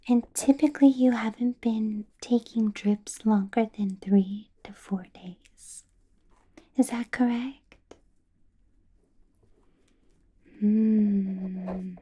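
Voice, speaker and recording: A woman acts out a female vampire boss in an ASMR roleplay. Her whisper is very dark and velvety, heavily breathy and unhurried. She questions quietly and possessively, coaxing with lingering hums. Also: mid-range voice. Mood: quietly probing. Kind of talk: ASMR roleplay, personal attention.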